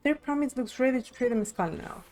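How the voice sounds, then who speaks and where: A woman's voice: high voice, fast, a little mellow, soft background music. A woman reading video game dialogue aloud expressively on a live stream.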